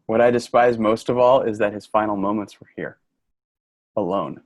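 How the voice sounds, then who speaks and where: A man's voice: lowish voice, normal speed, dry recording, lightly acted. A man acting in a play reading as an earnest, fed-up male office worker, grieving and sardonic, with an emotional delivery. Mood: solemn, heartfelt. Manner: emotional, swinging from shouting to quiet grief. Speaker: actor in a staged reading.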